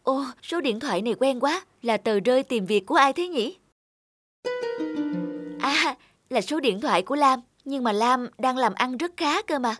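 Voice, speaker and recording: A woman's voice: high-pitched, animated, moderate pace, fairly bright tone. A woman playing an earnest, heartbroken young woman in a cartoon, speaking her thoughts with emotion. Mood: reflective. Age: young adult. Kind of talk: cartoon dialogue and inner monologue. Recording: music under the voice. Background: music.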